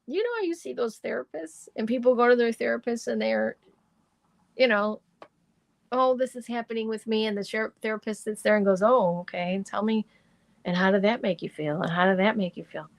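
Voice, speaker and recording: A middle-aged woman doing live astrology and tarot readings for viewers, rambling and chatty with lots of fillers, her voice slightly hoarse and deepened. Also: a little fast, no room sound.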